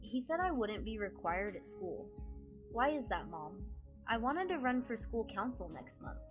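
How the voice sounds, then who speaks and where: A woman's voice: a little high, sing-song, normal speed, over music. A woman playing an inquisitive young schoolgirl, a twin daughter, in an audio drama. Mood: puzzled, questioning.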